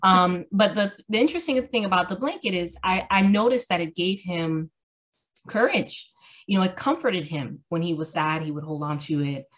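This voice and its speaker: A woman's voice: lowish voice, moderate pace. A middle-aged woman from Miami, a guest author, chatting casually from home with easy laughter and frequent fillers. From Miami, Florida. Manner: relaxed, laughing, hesitant fillers. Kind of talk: casual interview. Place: home room.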